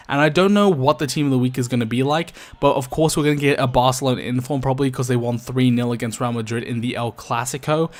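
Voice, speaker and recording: A tired young man with a slightly croaky voice giving casual, chatty commentary over gameplay footage, talking to his viewers with plenty of ums. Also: medium pitch. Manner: chatty, addresses viewers as guys, many ums. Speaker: gaming YouTuber.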